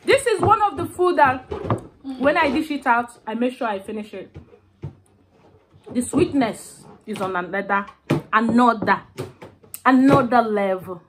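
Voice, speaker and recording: A young Nigerian woman whose first language is Igbo, filming a mukbang eating vlog and humming slowly with pleasure between bites. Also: a little high, expressive, background noise. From southeastern Nigeria. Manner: slow, savoring, with drawn-out hums between bites. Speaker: food vlogger.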